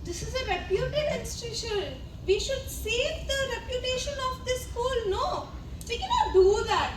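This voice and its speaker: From far off in a noisy hall comes a young woman playing a stern, scheming examiner in a stage comedy. The voice is very high, officious and declamatory, with an Indian English accent. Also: big pitch swings, normal speed. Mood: protesting. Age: young adult. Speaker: student amateur stage actor. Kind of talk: amateur stage comedy acting. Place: indoor college event stage, distant mic.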